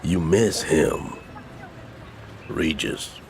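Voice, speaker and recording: A man acting in an audio drama as a gentle, wise older family friend with a measured, folksy manner. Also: rumbling low, lively intonation, gravelly, a little hiss or hum, exaggerated voice. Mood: gently consoling.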